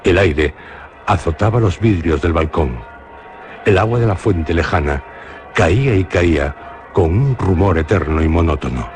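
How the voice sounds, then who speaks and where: A Spanish man narrates a dark legend in a very deep voice. He reads slowly and solemnly, like a radio storyteller. Clear music plays behind him throughout. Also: animated. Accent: Spanish from Spain. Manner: slow, measured, solemn storytelling. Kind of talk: dramatic radio reading of a horror legend.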